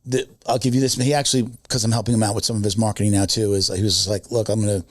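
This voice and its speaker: A man's voice: on the low side, little pitch movement, racing, no echo, studio quality. A man in his fifties, a podcast co-host chatting casually, rambling with many fillers and restarts. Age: 50s. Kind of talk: casual chat.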